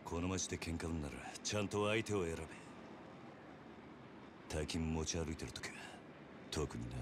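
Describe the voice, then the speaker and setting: An actor playing a stoic young yakuza debt collector in a video game, terse and restrained. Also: male voice, rumbling low, expressive, moderate pace, noisy background. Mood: cold menace. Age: young adult. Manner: terse, restrained, few words. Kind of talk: game cutscene voice acting.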